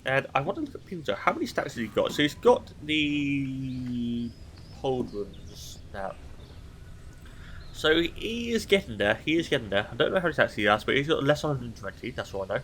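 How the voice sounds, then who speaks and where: A man's voice: mid-range voice, big pitch swings, normal speed, a bit bright, a little hiss or hum. A man with a blocked nose from hay fever, giving casual, analytical solo commentary on a recorded esports match. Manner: casual, analytical, thinking aloud.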